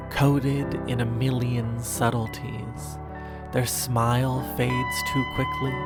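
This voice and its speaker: A performer voicing a wistful narrator of scripted audio fiction, speaking intimately and reflectively to a lost lover over music. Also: medium pitch. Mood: bitter, tender. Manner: reflective, measured, with rhetorical questions. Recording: music under the voice.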